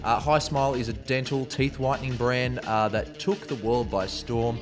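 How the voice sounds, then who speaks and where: A young man with an Australian accent hosting a casual podcast interview, loose and jokey and quick to swear. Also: mid-range voice, steady tone, music in the background. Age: young adult. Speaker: podcast host.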